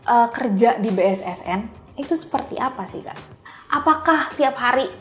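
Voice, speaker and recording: Young female podcast host, fairly high voice, informal and chatty, faint music underneath. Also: expressive, normal speed, a bit bright. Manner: informal, peppers talk with English phrases. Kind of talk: casual chatty interview.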